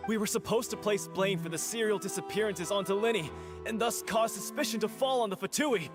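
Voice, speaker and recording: An actor voicing a nervous guard who stammers out a confession in a video game. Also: male voice, high voice, music in the background, lightly acted. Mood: panicked. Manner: rushed, stammering.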